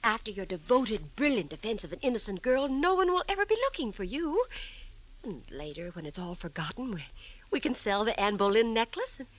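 Scheming wife, high swooping voice. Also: female voice, very animated, fairly quick, rough recording. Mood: coaxing. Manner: urgent, coaxing. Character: scheming, persuasive wife of a murderer. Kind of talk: 1940s radio acting.

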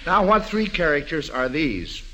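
A man's voice: on the high side, very animated, unhurried, fairly bright tone, soft background music. A man hosting a 1940s radio quiz show in a folksy, avuncular way, repeating answers back, on an old broadcast recording.

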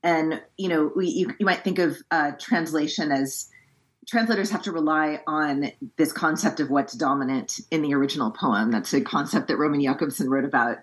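Woman translator, native English speaker, deep and breathy, reads verse and then talks it through carefully. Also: rough recording. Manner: thoughtful, measured explaining with frequent restarts. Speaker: poetry translator. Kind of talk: poetry reading and book-event discussion.

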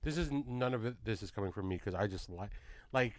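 A man's voice: lowish voice, expressive, quick pace, no echo, clean studio sound. A man hosting a casual chat, joking around in slangy speech and swearing freely. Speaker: podcast host.

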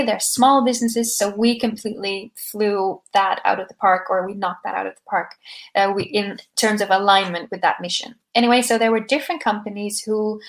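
A soft-spoken young woman, a startup co-founder, talking casually into a podcast microphone. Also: on the high side. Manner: soft-spoken, conversational, with fillers. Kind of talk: podcast interview answers.

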